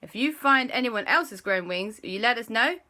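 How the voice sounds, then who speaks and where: Brisk sensible boys speaking together, a woman doing them, high and bright. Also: expressive.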